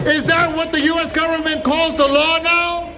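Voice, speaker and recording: High, shouting man at a crowded rally. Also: flat delivery. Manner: impassioned, declamatory, emphatic pauses. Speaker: protest speaker, activist. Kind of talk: rally speech. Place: outdoor street protest. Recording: loud rally PA with crowd and music. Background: crowd and music.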